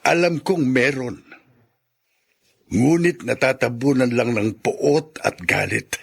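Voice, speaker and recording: An actor playing a grave, compassionate middle-aged elder brother in a radio drama, pleading solemnly in a gravelly, husky voice. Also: male voice, medium pitch, slow pace, heavily acted. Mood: solemn, earnest. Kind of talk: Filipino radio drama acting.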